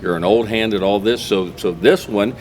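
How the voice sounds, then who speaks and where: A man's voice: lowish voice, moderate pace, darkish tone. An American man hosting a casual local interview in a folksy, chatty way. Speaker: talk show host. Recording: clear background noise.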